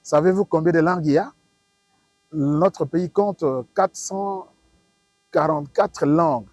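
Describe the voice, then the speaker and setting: A man's voice: on the high side, measured pace, darkish tone, no echo, soft background music. A man with a Congolese French accent, a professor explaining in an interview with emphatic, didactic repetition and rhetorical questions. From Democratic Republic of the Congo. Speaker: professor, linguistics expert.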